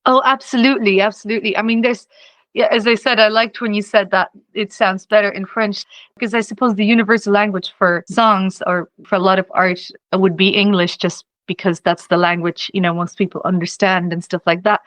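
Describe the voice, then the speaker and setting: A woman's voice: mid-range voice, steady tone, a little fast, fairly bright tone, no room sound. A young woman from Czechia, a singer-songwriter guest, talking casually in an interview, rambling with lots of "you know" and easy laughs.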